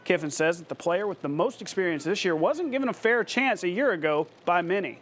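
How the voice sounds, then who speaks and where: An American man anchoring a sports broadcast, reading scripted highlights in a punchy announcer style. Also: expressive, fairly quick, fairly bright tone, slight background noise.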